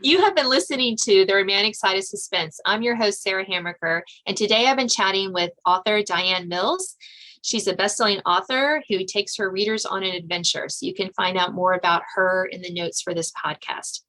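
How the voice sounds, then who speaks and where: A woman's voice: medium pitch, fairly quick, pushed and bright, low-quality recording. A woman hosting a podcast interview in an informal, agreeable way, thinking aloud as she goes. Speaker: podcast host. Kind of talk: conversational interview.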